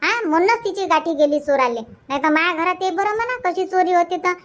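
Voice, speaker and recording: A woman's voice: extremely high pitch, a little fast, a bit bright. A woman voicing a scolding, boastful village housewife in a comic cartoon, nagging emphatically in a Varhadi Marathi accent.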